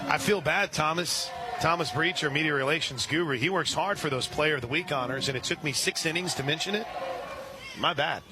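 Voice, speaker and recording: A man doing radio play-by-play of a softball game, calling pitches steadily and rising on big plays. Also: fairly quick, noisy background. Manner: steady play-by-play with brief asides, rising when excited. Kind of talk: radio sports commentary.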